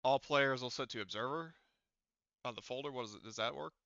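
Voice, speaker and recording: Man thinking aloud, crisp but gravelly. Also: medium pitch, brisk, sharp tone, no room sound, dull audio. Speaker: game master. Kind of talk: casual troubleshooting talk in an online tabletop game session.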